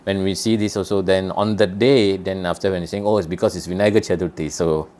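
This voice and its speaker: A man's voice: sits low, fairly even intonation, quick pace, mellow. A man from Malaysia telling travel stories in a casual chat, rambling with many restarts.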